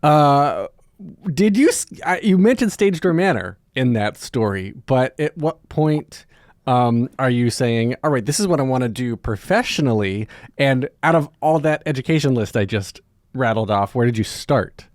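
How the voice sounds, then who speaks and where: A man's voice: mid-range voice, lively intonation, normal speed, a little mellow, dry recording, clean studio sound. A man hosting a podcast, asking interview questions in a conversational way. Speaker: podcast host.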